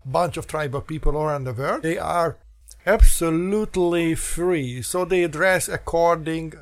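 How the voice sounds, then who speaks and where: A man hosting a free-flowing interview, talking in an animated, joking way with mocking exclamations. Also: on the high side, unhurried. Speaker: host.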